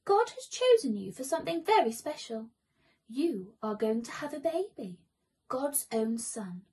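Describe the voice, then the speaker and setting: A reassuring angel from a children's storybook, acted by a woman. The voice is high and slightly husky, proclaiming each phrase slowly and with emphasis. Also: big pitch swings, darkish tone, heavily acted. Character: calm, reassuring angel announcing good news.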